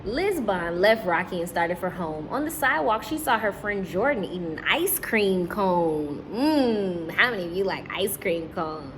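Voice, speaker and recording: A woman teacher hosting a children's storytime, speaking to young listeners and caregivers in an animated, encouraging way. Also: fairly low pitch, a little slow, few pauses, a little hiss or hum.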